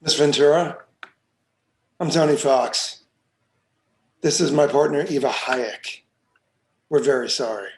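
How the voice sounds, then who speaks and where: A man acting a calm police detective in a screenplay table read, with measured, procedural questioning. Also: medium pitch. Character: steady, businesslike male police detective.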